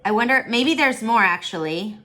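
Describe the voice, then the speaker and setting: A woman teaching on a video call, reading aloud and chatting with children in an expressive, encouraging way. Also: a little high, moderate pace. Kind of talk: online storybook read-aloud with casual chat.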